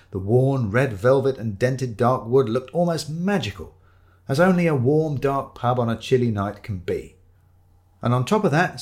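A man narrating a comic first-person story in a wry, dry storytelling manner. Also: medium pitch. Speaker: audiobook narrator.

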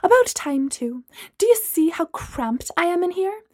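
An entitled young woman, acted by a female storyteller, snaps in a high, sharp voice. She sounds imperious and snippy, every line a demand. This is a storybook figure. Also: sing-song, a little slow, full character voice. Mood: haughty.

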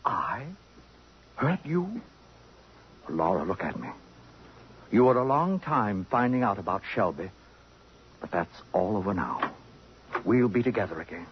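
Pompous, swooping, jealous sophisticate, old radio. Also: male voice, on the low side, very animated, measured pace, pauses often, slightly rough, full character voice. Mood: pleading. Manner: pompous, cutting, theatrical. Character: jealous, acid-tongued, possessive older sophisticate. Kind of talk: 1950s radio drama acting. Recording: dull lo-fi 1950s radio recording.

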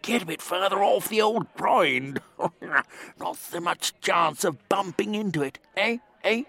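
A man's voice: high-pitched, animated, slow, gravelly, sharp tone, dry recording, voice pushed up, exaggerated voice. A man voicing a jolly, eager ex-army pilot in a comic story, with an upper-class British accent and a clipped, hearty military manner. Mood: hearty, boastful.

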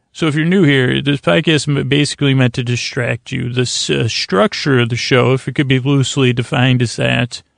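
A man hosting a bedtime podcast with a creaky, lulling voice, meandering and interrupting himself in a goofy, deadpan monologue. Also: mid-range voice, dry recording, clean studio sound. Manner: lulling, soothing. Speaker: podcast host. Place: small closet, close and dry.

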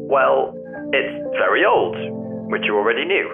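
A man's voice: medium pitch, big pitch swings, normal speed, vocal fry. An actor playing a caring, bookish man in a naturalistic audio drama, earnest and wry, heard through a telephone filter. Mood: informative, earnest. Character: caring, bookish man missing his departed friend. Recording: tinny phone line, music behind.

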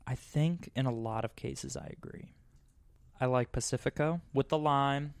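A man's voice: mid-range voice, normal speed, vocal fry, clean tone, dry recording. Teasing male podcast host, dry close sound. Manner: playful, teasing. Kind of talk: casual chat, reading reviews aloud.

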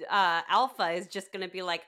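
A woman's voice: on the low side, a little fast, sharp tone. A middle-aged woman chatting casually as a podcast co-host, with a wry, joking, self-deprecating manner and casual swearing. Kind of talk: casual group chat.

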